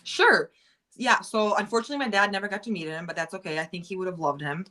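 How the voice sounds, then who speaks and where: A woman's voice: mid-range voice, fast talker, sharp tone. A woman from the United States, a guest in a casual podcast chat, talking candidly in a rambling way full of "like". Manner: rambling and candid, lots of "like" and restarts.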